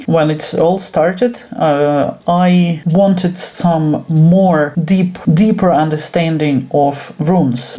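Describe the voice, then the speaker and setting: A woman's voice: low-pitched, mellow. A woman whose first language is Russian speaks English with a Russian accent, talking nervously and hesitantly in a personal vlog from her work room at home. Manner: nervous, hesitant, frequent pauses. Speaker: esoteric teacher.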